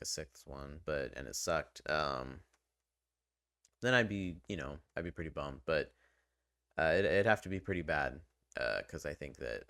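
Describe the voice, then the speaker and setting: A man's voice: low-pitched, fairly bright tone, clean tone, no echo, studio quality. A man giving a casual, unscripted video game review in a conversational, hesitant way, weighing his opinions as he goes.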